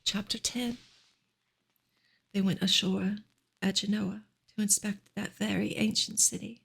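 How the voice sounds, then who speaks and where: A woman reading a novel aloud in a soft, breathy ASMR style, partly whispered, in a gentle, hushed and unhurried way. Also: mid-range voice, level tone. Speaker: ASMR reader and streamer.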